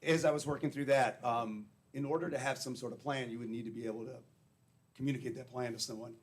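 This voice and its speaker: Brief: man, a little hoarse, blunt and plain-spoken, explaining his facility at a public meeting. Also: mid-range voice, steady tone, fast talker. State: slightly hoarse. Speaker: treatment facility director.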